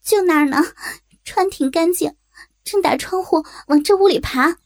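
A woman's voice: extremely high pitch, normal speed, voice pushed up. A woman playing a frightened, naive young rural wife with a Northeastern Chinese accent, hesitant and pleading, in an audio drama. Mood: nervous.

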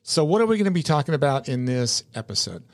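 A man's voice: fairly high pitch, big pitch swings, quick pace, no echo. Chatty man hosting a local podcast. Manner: chatty, folksy, repeats words while thinking. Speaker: podcast host. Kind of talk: local business interview. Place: podcast studio inside a shopping mall.

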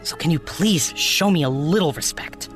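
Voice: mid-range voice, moderate pace, faint music behind, close to an everyday voice. An actor playing an earnest, hurt young activist in an audio drama, pleading in a casual, sweary, naturalistic way. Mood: impassioned, pleading. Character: earnest, hurt young activist who runs a protest blog.

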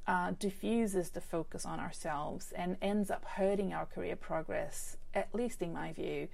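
A woman's voice: mid-range voice, vocal fry, a little mellow. A woman who speaks English as a second language with an accent, hosting a solo podcast about careers in a chatty way full of fillers and restarts. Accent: non-native English. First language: not English. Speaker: career coach podcast host. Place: home setup with a desk microphone.

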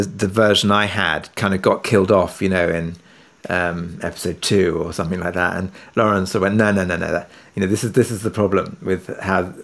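Man, lowish pitch, interview conversation, hesitant, rephrasing, modest about himself. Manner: halting, self-effacing, rephrases often. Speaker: audio drama writer and producer being interviewed.

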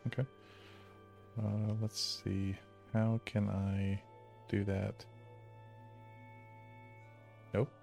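A man playing a tabletop game, casually explaining things in a halting way as he searches for words. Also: lowish voice, flat delivery, vocal fry, a little mellow, soft background music. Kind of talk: casual chat, explaining character lore.

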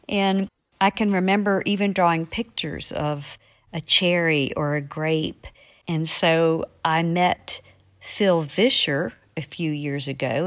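A woman author speaking to young listeners, answering questions in a friendly chat. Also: lowish voice. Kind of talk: friendly spoken segment for children.

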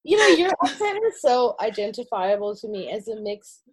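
A woman from New Zealand, a podcast guest, chatting in an animated, storytelling way. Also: a little high, moderate pace, slightly rough. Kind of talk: casual conversational interview.

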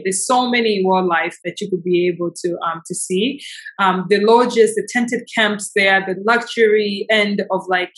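A woman's voice: mid-range voice, fairly bright tone, poor audio. A woman from Tanzania giving enthusiastic, effusive answers in a conversational interview. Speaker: interview guest.

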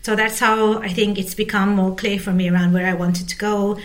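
A woman's voice: medium pitch, level tone, moderate pace. A woman in her forties from Turkey, speaking as an executive guest in a conversational interview. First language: Turkish. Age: 40s. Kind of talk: conversational interview about career.

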